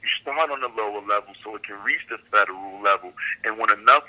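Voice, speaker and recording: A man's voice: fairly low pitch, quick pace, bright, forceful tone, rough recording. A man, a council candidate guest on a radio show, speaking earnestly and repeating phrases for emphasis. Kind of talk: radio interview answer.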